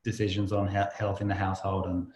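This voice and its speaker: A man's voice: low voice, level tone, quick pace, darkish tone. Male New podcast host, roomy sound. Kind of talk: casual conversational interview.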